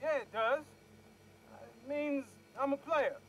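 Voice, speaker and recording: An actor playing a defensive young street gangster, a grandson, in a video game, pleading in slangy speech. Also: male voice, very high voice, slow, fairly bright tone, highs cut off, slight background noise, full character voice. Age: young adult. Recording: music behind the voice.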